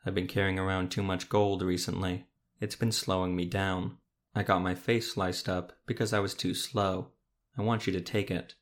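A man doing a weary gruff monster hunter. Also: flat delivery, moderate pace, natural voice. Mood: gentle. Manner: gruff, low and gentle.

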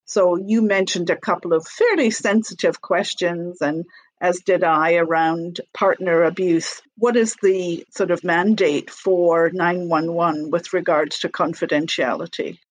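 A woman interviewer asking measured, clear questions. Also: on the low side, steady tone, rough recording.

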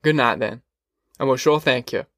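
Man voicing anxious, polite country father, mid-pitched. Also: moderate pace, a bit bright, no echo, clean studio sound, lightly acted. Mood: grateful, earnest. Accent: rural American South. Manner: hesitant, polite, folksy. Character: Wainwright, anxious, earnest poor farmer and worried father. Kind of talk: audiobook character dialogue.

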